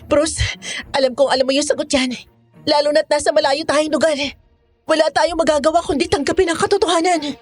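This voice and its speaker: A woman's voice: high-pitched, fairly even intonation, brisk, faint music behind, close to an everyday voice. A woman playing a firm, urgent female monster hunter in a Tagalog horror audio drama, speaking dramatically. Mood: grave, urgent.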